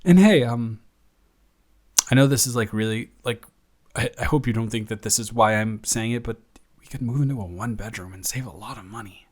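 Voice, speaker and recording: A young man playing a devoted, flustered roommate in an intimate, improvised audio roleplay, speaking in a halting, rambling, affectionate and teasing way. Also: medium pitch, close to an everyday voice. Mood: tender, hopeful.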